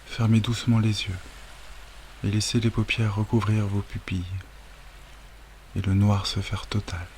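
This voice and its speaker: Low-voiced man guiding sleep meditation over waves. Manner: calm, soothing, unhurried with long pauses. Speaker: yoga nidra guide. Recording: steady wave noise over the voice. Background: sound of ocean waves.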